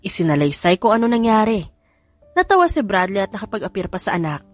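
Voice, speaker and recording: A woman's voice: medium pitch, big pitch swings, quick pace, no room sound. A woman with a Filipino accent narrating a scripted story as an audiobook reader. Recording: background music under the voice.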